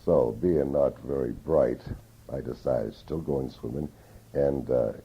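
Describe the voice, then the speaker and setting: An older man reminisces about his youth for an oral history interview, pausing often to find his words. His voice sits very low, rough and gravelly with a husky edge. The sound is dull and muffled, like an old interview tape. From Newton, Massachusetts. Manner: slow, reflective, with many hesitations.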